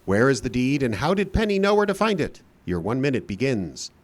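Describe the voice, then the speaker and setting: A man's voice: mid-range voice, very animated, a little fast. A man announcing a live radio drama show in an old-time radio style. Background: light guitar music.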